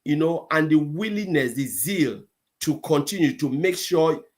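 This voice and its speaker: A man hosting a gathering and giving closing remarks, halting often and filling pauses with repeated words. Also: on the high side, lively intonation. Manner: halting, many fillers and restarts. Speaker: host.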